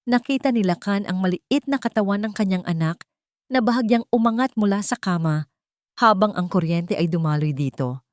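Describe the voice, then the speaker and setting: A woman's voice: medium pitch, normal speed, a little mellow, no echo, clean studio sound. A woman narrating an audio drama in a scripted, emotional and emphatic storytelling style.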